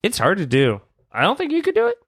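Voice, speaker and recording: Animated, giggly woman, dry close sound. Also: medium pitch, fast, vocal fry, slightly rough, no room sound. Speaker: comedy podcast co-host. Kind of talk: casual comedic storytelling and banter.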